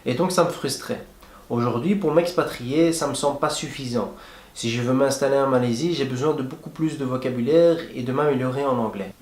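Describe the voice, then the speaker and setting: A young man giving friendly, plain-spoken advice straight to his viewers in a casual travel vlog. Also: medium pitch. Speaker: travel vlogger. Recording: echoey room.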